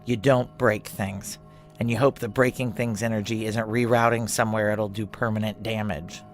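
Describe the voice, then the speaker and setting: A woman's voice: very deep voice, fairly even intonation, normal speed, faint music behind. An actress playing a tough, weary female military chief in a noir audio drama, blunt, clipped and commanding as she acts and narrates. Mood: weary, wry. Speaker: narrator and lead actor.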